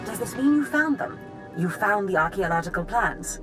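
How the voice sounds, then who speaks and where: An actress playing a scheming, theatrical female dark-side master in a video game, knowing and conspiratorial. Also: mid-range voice, lively intonation, moderate pace, music in the background, close to an everyday voice. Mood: excited scheming. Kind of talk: dramatic video game acting.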